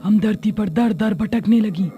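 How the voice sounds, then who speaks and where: A man voicing a gold-greedy female ghost witch in a horror story, measured and theatrical. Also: sits high, warm tone, faint music behind, voice pushed up. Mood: confessing.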